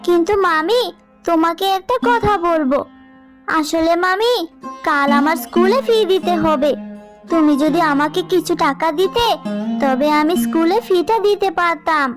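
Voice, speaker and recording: A woman's voice: extremely high pitch, moderate pace, over music. A woman voicing a meek little village girl in a cartoon, childlike and earnest. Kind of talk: Bengali cartoon dubbing.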